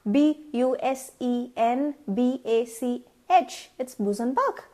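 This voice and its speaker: Woman, Indian English accent, Tamil native speaker, young adult, high-pitched voice, chatty, repeats herself, vlog tips. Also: slow pace, warm tone. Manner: chatty, repeats words, acts out pronunciations. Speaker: vlogger.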